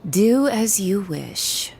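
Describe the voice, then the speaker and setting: An actress playing a haughty, elegant villainess in a video game, mocking and commanding in manner. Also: female voice, mid-range voice, big pitch swings, drawn-out words, gravelly, a little mellow, slight background noise, full character voice. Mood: mocking, aloof.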